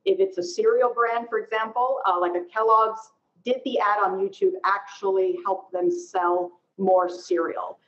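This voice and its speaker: A woman's voice: mid-range voice, steady tone, normal speed. A woman in her forties speaking as a panelist, explaining her professional work conversationally on a video call from home. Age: 40s. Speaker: panelist, research executive. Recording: slightly dull video-call audio.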